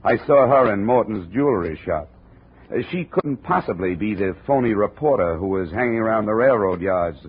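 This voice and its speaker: An actor playing a wry, hard-boiled insurance investigator in a 1950 radio detective drama, deadpan and wisecracking. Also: male voice, fairly low pitch, normal speed, husky. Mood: cool, firm. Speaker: narrator and lead actor. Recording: tinny, noisy old radio broadcast.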